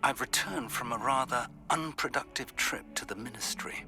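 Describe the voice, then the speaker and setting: A man playing a courteous magic-school mentor in a video game, speaking formally and in a measured way. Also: medium pitch, natural voice. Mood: businesslike. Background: music.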